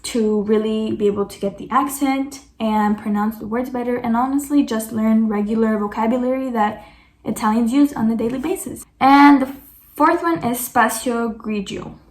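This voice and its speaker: A woman's voice: a little high, reverberant. A woman vlogger answering viewer questions in casual chat, chatty and peppered with "like" and self-corrections.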